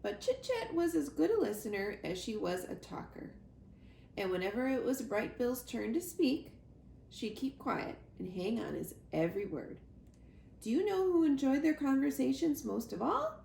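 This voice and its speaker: Expressive woman storyteller, higher-pitched and lively. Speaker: read-aloud storyteller. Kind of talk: expressive children's storybook narration. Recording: roomy echo, clear background noise.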